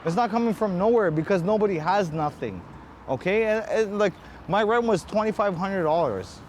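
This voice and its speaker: A man's voice: high-pitched, moderate pace, a little hiss or hum. An agitated man with an Ontario Canadian accent, venting in a repetitive, frustrated way in a street interview. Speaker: painting contractor interviewed on the street.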